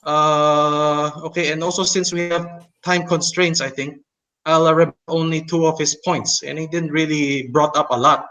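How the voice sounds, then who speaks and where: A man speaking with a Chinese and Tagalog accent, his voice sitting fairly high. He stops and restarts often, filling gaps with "uh" while laying out his rebuttal on a casual podcast call. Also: flat delivery, moderate pace, sharp tone, poor audio. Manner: hesitant, many fillers and restarts, mocking laughs. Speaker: co-host.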